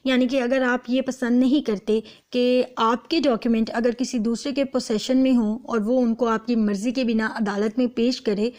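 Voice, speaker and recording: A woman's voice: a little high, a little mellow. A Pakistani woman giving an explanatory lecture, reading and translating line by line as she switches between English and Urdu. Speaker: law lecturer.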